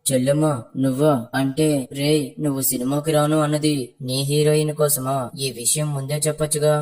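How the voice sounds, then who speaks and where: A woman's voice: low voice, level tone, fairly quick, darkish tone, close to an everyday voice. A woman voicing a teasing young male college student in a cartoon story.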